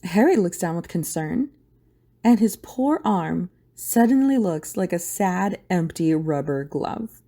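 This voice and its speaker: A woman's voice: lowish voice, expressive, takes it slowly, mellow. A woman narrating a scripted audio drama in a dramatic storytelling style.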